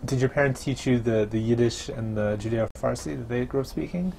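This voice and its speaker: A man interviewing a guest for an oral history project, asking soft-spoken questions with small hesitations. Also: medium pitch, a little fast, gravelly, a little hiss or hum.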